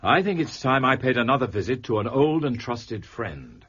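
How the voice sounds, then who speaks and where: An actor playing a coolly superior detective, measured, dry and authoritative, in a radio drama. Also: male voice, mid-range voice, big pitch swings, a bit bright, husky, lo-fi sound. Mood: brisk, assured.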